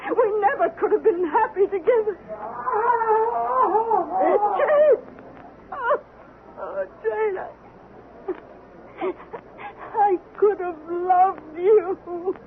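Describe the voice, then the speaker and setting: An aging frontier wife, proud but anguished, speaks slowly in a high American voice. She is halting and theatrical, as in an old radio play, with thin, noisy sound. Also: heavily acted. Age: older. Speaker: actor. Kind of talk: 1970s radio drama acting. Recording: tinny, noisy vintage radio broadcast.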